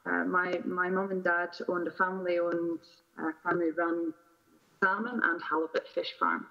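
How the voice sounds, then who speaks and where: A woman's voice: on the low side, level tone, moderate pace. A woman with a Shetland Scottish accent, giving a conversational address as a conference panelist. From Shetland Islands, Scotland. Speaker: energy sector representative, panel speaker. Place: remote call into a conference. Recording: dull lo-fi video call audio.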